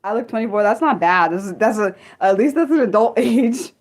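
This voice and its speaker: A woman in her thirties hosting an unscripted livestream, chatting casually with viewers and reading comments, rambling and correcting herself as her energy swings between excited and subdued. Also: a little high, brisk. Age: 30s. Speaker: livestream host.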